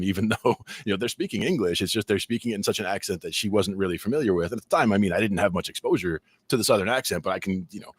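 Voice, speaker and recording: A man's voice: low-pitched, breathy edge, no echo. A man from New Jersey with a mild New Jersey accent, chatting casually as a podcast co-host, rambling and laughing often. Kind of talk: casual chat.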